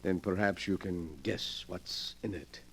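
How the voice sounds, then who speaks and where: An actor playing a scheming wheelchair-bound collector of murder relics in a 1940s radio drama, smooth and commanding. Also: male voice, deep voice, steady tone, unhurried, full character voice. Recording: old radio broadcast recording.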